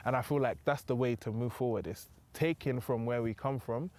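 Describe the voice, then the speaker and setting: A young man from England with a British accent, talking as a guest in a relaxed, conversational interview, often adding "do you know what I mean". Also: medium pitch, fairly quick. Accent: British English. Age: young adult.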